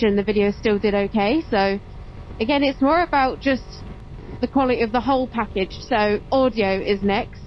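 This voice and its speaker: Motovlog host: woman, casual and explanatory, dull helmet-mic sound with engine and road noise behind. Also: medium pitch. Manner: chatty, explanatory. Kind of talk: casual vlog chat while riding. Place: on a motorbike on open roads. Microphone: helmet intercom mic.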